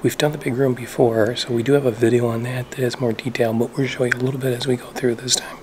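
A man hosting a travel vlog, narrating a cave walk in a steady, matter-of-fact way. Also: mid-range voice, quick pace, background noise. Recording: loud background music.

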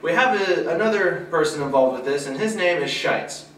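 A young man in his twenties hosts a relaxed movie review, talking loosely and letting his sentences drop off at the ends. He's picked up from a distance in a small room that rings back, with a faint hiss underneath. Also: moderate pace. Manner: loose, chatty, trails off low. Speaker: host of a movie review show. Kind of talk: casual review chat. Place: small indoor studio with a poster backdrop. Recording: echoey room, mic far off, faint hiss.

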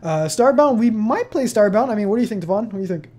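A man's voice: high-pitched, expressive, fast, darkish tone. A seventeen-year-old young man hosting a casual question-and-answer chat on his channel, rambling with many fillers and laughs. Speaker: channel host.

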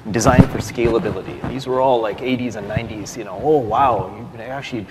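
A man's voice: mid-range voice, fairly quick. Man answering on a conference stage. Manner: thoughtful, with many fillers and restarts. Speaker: panelist, software developer. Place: large conference hall stage. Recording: long hall reverb, faint noise.

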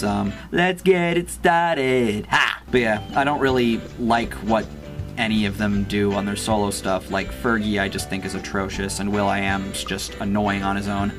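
A man in his twenties hosting a casual unboxing vlog, chatting and giving his opinions on music as he goes. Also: fairly low pitch. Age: 20s. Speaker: YouTube host.

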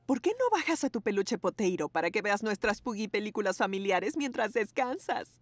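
A woman voicing a caring, patient mother pug in a preschool cartoon, tender and coaxing, over loud music. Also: medium pitch, big pitch swings, normal speed, natural voice.

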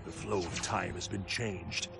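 A brooding guardian of fate from a video game. He is low and creaky, with solemn, formal phrasing. Loud music swells behind the voice. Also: fairly even intonation, unhurried, heavily acted. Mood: grave. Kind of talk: dramatic video game monologue.

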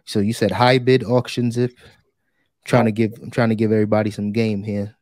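A man's voice: on the low side, little pitch movement, brisk, a little mellow, no room sound, clean studio sound. A man in his thirties hosting a podcast, chatting in an easygoing way into a headset and desk mic. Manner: easygoing, brief interjections. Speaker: podcast host. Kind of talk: casual chat.

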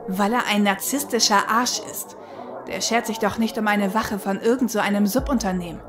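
An actress as a sarcastic, blunt woman shadowrunner in a radio play. Her voice sits at a middle pitch, and music plays clearly behind her. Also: moderate pace, natural voice. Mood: scornful. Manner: wry, blunt. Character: dry, sarcastic female shadowrunner, protective big sister. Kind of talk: German audio drama acting.

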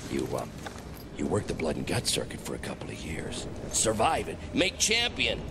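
An actor playing a flamboyant, manic race boss in a video game, theatrical and wheedling, swinging from sly muttering to shrieks. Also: male voice, deep voice, gravelly, background noise, full character voice. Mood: mocking. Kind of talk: video game character acting. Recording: music playing behind the voice.

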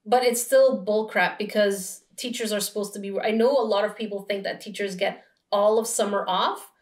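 A woman's voice: mid-range voice, steady tone, normal speed, reverberant, far from the mic. A woman in her thirties, a teacher, giving firm opinions on a casual podcast. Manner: opinionated, explains and sums up. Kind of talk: casual chat. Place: small room at home, indoors.

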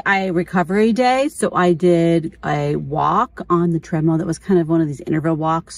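A woman's voice: fairly low pitch, gravelly, darkish tone. A middle-aged woman chatting casually as a vlogger, informal and candid, often thinking aloud. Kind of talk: casual chat.

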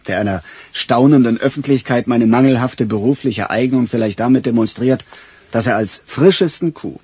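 An actor playing a weary, bitter veteran police chief in a crime radio play, dry and sardonic. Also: male voice, medium pitch, a little mellow, husky.

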